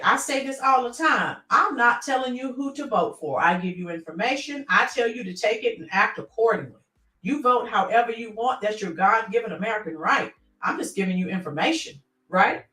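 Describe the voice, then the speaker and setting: A woman's voice: on the high side, expressive, normal speed, sharp tone, echoey room, recorded from a distance, rough recording. A middle-aged woman hosting a casual livestream, reading out viewer comments and rambling with many fillers.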